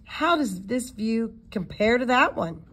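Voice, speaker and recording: Woman voicing an earnest, higher-pitched girl spy. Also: expressive, a little slow, fairly bright tone, slightly rough, exaggerated voice. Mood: wondering.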